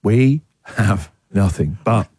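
A man hosting a radio show with excitable, emphatic, repetitive banter. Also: fairly low pitch, slow pace, breathy edge, dry recording, clean studio sound. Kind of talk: animated breakfast radio banter.

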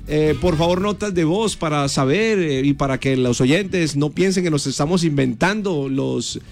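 Playful Colombian radio host, light music. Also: male voice, on the high side, normal speed. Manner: playful, teasing, keeps calling for listener input. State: has a cold. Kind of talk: lively morning radio banter.

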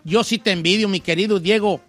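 A man's voice: sits high, brisk, no room sound, faint music behind. A man with a Mexican accent hosting a radio show in casual comedic banter, slangy and teasing as he reacts to listeners.

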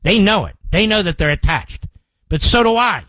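A man's voice: sits high, very animated, moderate pace, bright, forceful tone, no room sound, low-quality recording. An older man with an American accent hosting a political talk radio show, emphatic and combative, repeating phrases to drive his point home. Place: radio studio. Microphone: close studio microphone.

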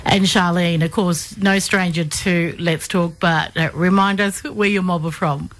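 A woman's voice: on the low side, steady tone, unhurried. A woman with an Australian accent hosting a conversational radio interview. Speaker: radio host.

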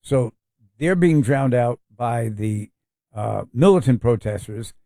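New radio host, mellow voice. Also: male voice, medium pitch, animated, slow, no room sound, studio quality. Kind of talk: casual talk radio chat.

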